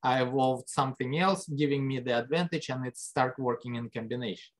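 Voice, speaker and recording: A male biology lecturer explains his points to the class. His delivery is conversational and full of rhetorical questions. His pitch leaps up on key words for emphasis. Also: brisk. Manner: lively pitch jumps for emphasis. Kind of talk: recorded classroom lecture over slides.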